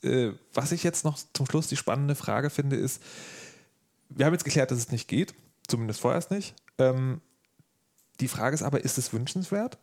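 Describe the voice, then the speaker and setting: A man's voice: mid-range voice, expressive, moderate pace, a little mellow. A man hosting a podcast in a casual, wry way, asking loose, rambling questions. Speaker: podcast host. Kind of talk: casual conversational interviewing.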